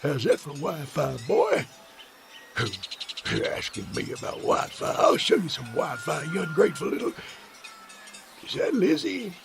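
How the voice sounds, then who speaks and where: An old Southern country grandpa, with pitch that swings all over the place. He is scatterbrained, excitable and quick to get cranky, repeating himself in folksy comic audio-drama fashion. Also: gravelly, soft background music, full character voice. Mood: boastful, cranky. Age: older. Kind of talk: comedic audio drama acting.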